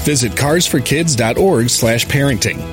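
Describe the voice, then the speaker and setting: A man's voice: mid-range voice, lively intonation, normal speed, over music. A man reading a commercial voice-over in an earnest, explaining tone. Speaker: announcer.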